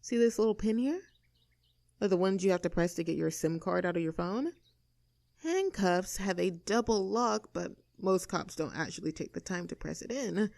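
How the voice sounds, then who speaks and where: A woman's voice: fairly low pitch, animated, normal speed, creaky, a little mellow, no echo. A woman playing a cocky, rebellious young mean girl in an audio roleplay, snarky, bossy and self-assured as she talks to the listener. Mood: confident, instructing.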